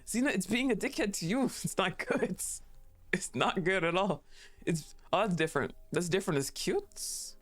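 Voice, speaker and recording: A woman's voice: fairly low pitch, animated, moderate pace. A woman streaming a game live, giggling often, swearing freely and gushing thanks to her chat.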